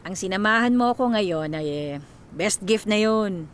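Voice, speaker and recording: A woman's voice: medium pitch, lively intonation, unhurried, smooth, close to an everyday voice. A Filipino woman playing a mischievous, breezy college student of twenty in a radio drama. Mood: warm, breezy. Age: 20s. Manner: jokey, breezy. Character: mischievous, well-off twenty-year-old female college student.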